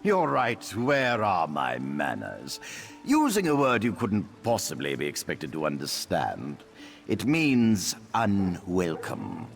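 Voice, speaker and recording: A man's voice: deep voice, wildly swooping pitch, takes it slowly, creaky, exaggerated voice. An actor playing a haughty, condescending male elf noble in a fantasy video game, who speaks with pompous, sneering politeness. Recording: game audio with faint music.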